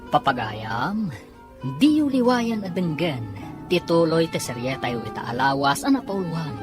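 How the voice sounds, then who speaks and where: A woman narrating and announcing a radio drama in a scripted, dramatic style. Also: lowish voice.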